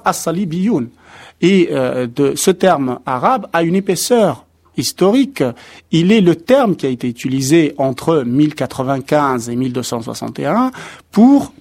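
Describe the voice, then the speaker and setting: A man in a studio interview, explaining carefully like an academic. He talks in a measured way, often hesitating and restarting a phrase before finding the right word. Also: on the high side, a little mellow. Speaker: expert guest on translation.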